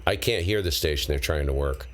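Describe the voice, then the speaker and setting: Low-voiced man on a ham radio livestream. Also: fairly quick, a crowd in the background. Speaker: amateur radio operator live-streaming a contest. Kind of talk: casual livestream chat and radio calls. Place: cold basement radio room.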